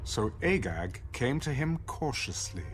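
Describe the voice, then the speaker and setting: A male narrator reads a Bible story as scripted drama. His delivery is slow with lively pitch movement, and music plays clearly behind him.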